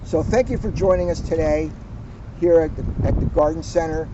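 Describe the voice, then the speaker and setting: A man who works as a police officer reads to children and chats informally, with expressive, deliberate storytelling and drawn-out phrase endings. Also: on the high side. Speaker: police officer reading to children in a community video series. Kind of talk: picture-book read-aloud with informal chat. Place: outdoors at a garden center. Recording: dull, noisy outdoor audio.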